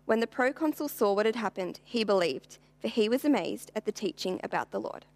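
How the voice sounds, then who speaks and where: Woman, average pitch, formal Bible reading, measured, deliberate delivery. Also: lively intonation. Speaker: Bible reader. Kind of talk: scripture reading.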